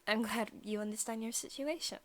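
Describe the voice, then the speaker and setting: A young woman playing a possessive female vampire student in an intimate ASMR roleplay, softly spoken, partly whispered, coy and taunting. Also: on the high side, natural voice. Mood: menacing, excited. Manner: soft, slow, coy talk sliding into taunting. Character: female student vampire, possessive, sweet-talking, menacing predator.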